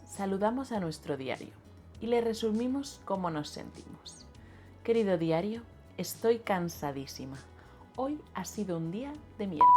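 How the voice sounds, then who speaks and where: A woman's voice: mid-range voice, a little mellow. A woman, a teacher doing a voice-over that walks through examples. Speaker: Spanish teacher.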